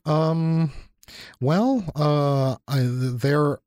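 A man's voice: fairly high pitch, very slow, darkish tone, no echo. A man co-hosting a casual chat, talking in a reflective, thoughtful way. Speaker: university lecturer and podcast co-host.

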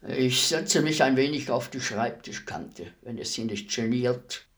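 A man's voice: mid-range voice, unhurried, a bit bright. Courteous, matter-of-fact male executioner. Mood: polite, calm. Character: polite state executioner, businesslike, unruffled, deferential hired killer. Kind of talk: German radio play acting.

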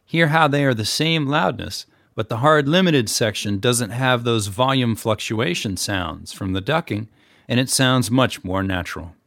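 A man's voice: medium pitch, unhurried, vocal fry, a bit bright, dry recording. Man explaining audio production, dry close sound. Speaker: fiction podcast producer and narrator. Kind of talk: explanatory tutorial narration.

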